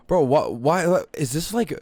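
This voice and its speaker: A man's voice: a little high, animated, normal speed, darkish tone, breathy edge, no echo. A man streaming a game, commenting casually with swearing, repeated words and blurted exclamations. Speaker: game livestreamer reacting to story.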